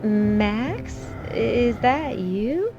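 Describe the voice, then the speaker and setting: A woman acting in an audio drama as a hospital patient who brushes off concern in an unhurried manner. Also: on the high side, big pitch swings, dark tone, lo-fi sound, faint music behind, heavily acted. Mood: frightened. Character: reassuring, dismissive woman in a hospital bed.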